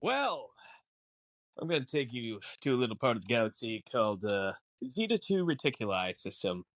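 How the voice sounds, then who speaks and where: A man's voice: mid-range voice, sing-song, moderate pace, no room sound. A man guesting on a podcast, explaining film lore casually with theatrical, mock-exasperated banter.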